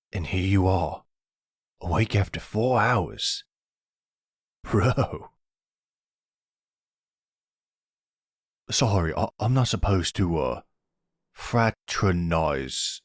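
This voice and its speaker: A man playing a nervous, chatty, none-too-bright mafia henchman in an audio roleplay, hesitant and stammering in slangy bro talk. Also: low-pitched, slightly rough, dry recording, studio quality, exaggerated voice. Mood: startled, awkward.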